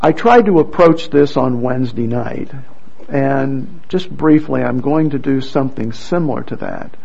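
A man preaching a sermon and reading scripture aloud, earnest and halting, with frequent restarts. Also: medium pitch, vocal fry, darkish tone, highs cut off. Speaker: pastor.

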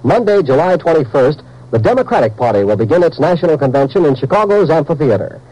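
A man's voice: fairly high pitch, very animated, fast, warm tone, slightly rough, poor audio. A man with an American accent reading a formal, emphatic 1950s radio network announcement.

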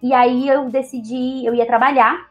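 High-voiced chatty Brazilian woman. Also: little pitch movement, moderate pace, faint music behind. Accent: Brazilian Portuguese. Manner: chatty, leans on tag questions. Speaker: interview guest. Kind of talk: casual personal storytelling.

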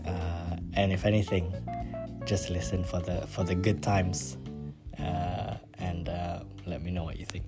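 A man from Malaysia hosting a podcast, talking in a relaxed, chatty way with lots of fillers. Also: deep voice, measured pace. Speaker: podcast host. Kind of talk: casual spoken intro and interview. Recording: guitar music under the voice.

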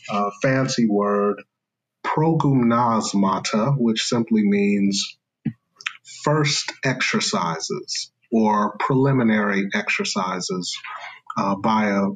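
A man's voice: fairly low pitch, husky, rough recording. A man speaking as a scholar guest in an interview, explaining carefully in a lecturing way with fillers and restarts.

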